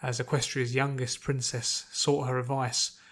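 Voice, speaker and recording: Male audiobook narrator, average pitch, measured dramatic delivery of scripted fiction. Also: level tone, a bit bright.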